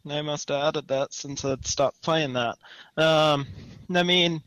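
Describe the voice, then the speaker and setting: A young man co-hosting a podcast in casual discussion, opinionated and thinking aloud in a halting way. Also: a little high, a bit bright, clean tone, dry recording. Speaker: podcast co-host. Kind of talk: casual chat, gaming discussion.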